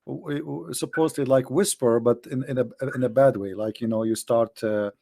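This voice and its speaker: A man whose first language is Arabic, debating casually, animated and teasing, pressing his points and pushing his voice up for emphasis. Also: medium pitch, moderate pace, creaky.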